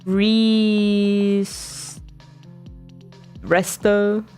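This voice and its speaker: Female game streamer, medium pitch, casual and exasperated live reactions. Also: level tone, very slow, a little mellow, smooth, soft background music. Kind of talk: casual live gaming commentary.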